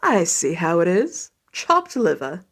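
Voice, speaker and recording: A woman's voice: lowish voice, wildly swooping pitch, takes it slowly, fairly bright tone, voice pushed down, exaggerated voice. Woman voicing a weary, wry middle-aged dad. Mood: mock offended. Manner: sarcastic, weary grumbling. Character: tired, wry, affectionate middle-aged father.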